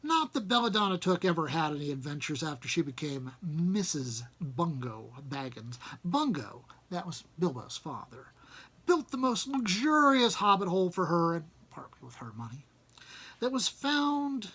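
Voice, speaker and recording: A man reading a storybook aloud as an audiobook narrator, with expressive delivery. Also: a little high, moderate pace, husky. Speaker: audiobook reader.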